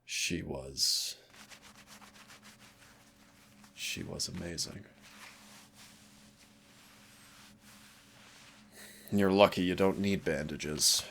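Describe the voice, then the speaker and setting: Casting: male actor as smug demon trainer for audio roleplay, very low register, unhurried delivery, menacing but tender. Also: a bit bright, slight background noise, exaggerated voice. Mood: wistful, tender. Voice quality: gravelly, low. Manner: slow, smug, teasing, addresses listener directly. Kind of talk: intimate audio roleplay drama.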